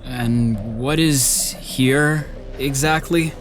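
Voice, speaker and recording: A defiant orphan lad played by a man speaks in a medium-pitched voice, sullen and blunt. The pace is slow, with clear music behind this audiobook drama performance. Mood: impatient, puzzled. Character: defiant, irritable orphaned son of exorcists. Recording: background music.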